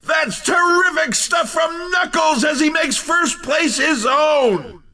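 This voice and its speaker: A man voicing an excitable, showy race commentator in a video game, with theatrical, emphatic exclamations. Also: very high voice, slow, recorded from a distance, heavily acted. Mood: triumphant, shouting. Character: excitable male arcade racing game announcer, showy and quick to tease.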